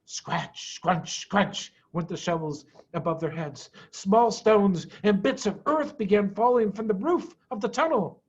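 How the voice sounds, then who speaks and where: Very animated male storyteller. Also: sits high, highs cut off. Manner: dramatic, expressive pauses and emphasis. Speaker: storyteller, head of school. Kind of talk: animated children's story reading.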